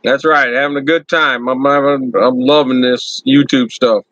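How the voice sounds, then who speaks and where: A man hosting his own channel, chatting loudly and casually to viewers on a first live stream from his computer. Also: mid-range voice, fairly even intonation, moderate pace, no echo, dull audio. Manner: loud friendly talker. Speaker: YouTube channel host.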